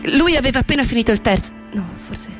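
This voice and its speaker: A woman playing an older mother in a radio drama monologue, wistful and halting as she remembers, questioning and correcting herself. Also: fairly high pitch, expressive, close to an everyday voice. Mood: confused, searching. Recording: music under the voice.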